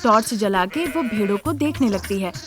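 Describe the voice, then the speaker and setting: A woman's voice: mid-range voice, animated, moderate pace, music in the background. A woman reading scripted story narration as a narrator.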